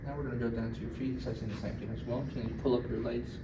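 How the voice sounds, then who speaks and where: Young male student nurse, polite, matter-of-fact clinical demo, medium pitch, recorded far off under heavy noise. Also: little pitch movement, rapid-fire, vocal fry. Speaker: nursing student acting as examiner. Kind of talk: practical exam demonstration, giving instructions and narrating findings. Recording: distant mic, echoey room, loud background noise.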